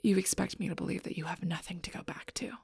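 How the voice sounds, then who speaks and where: Casting: woman to play a protective female alpha werewolf for intimate audio roleplay. Low-pitched, raspy, bitter and intense. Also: fairly even intonation, quick pace, a little mellow, no echo, clean studio sound, full character voice. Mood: wounded, subdued. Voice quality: breathy, husky, rough-edged. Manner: intense, confrontational, swearing, often dropping to near-whisper. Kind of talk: audio roleplay acting addressed to the listener.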